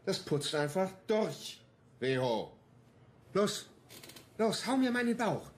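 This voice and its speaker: Gruff, embittered detective for a radio crime play, coarse and combative, swinging delivery, roomy sound with faint noise. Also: male voice, high voice, big pitch swings, slow pace, exaggerated voice. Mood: goading. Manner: blunt, coarse, colloquial. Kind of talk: German radio crime drama acting.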